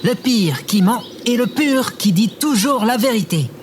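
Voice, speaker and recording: A woman's voice: a little high, lively intonation, a little slow, a little hiss or hum. A woman playing an anxious, self-pitying robot guard in a comedic audio drama, dramatic and whiny. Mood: pompous.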